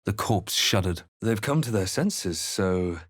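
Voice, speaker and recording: Brooding, low-voiced fantasy adventurer. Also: male voice, expressive, measured pace, husky, no room sound, clean studio sound, heavily acted. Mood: ominous, grave. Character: brooding male fantasy adventurer narrating his own quest. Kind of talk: dramatic video game voice lines.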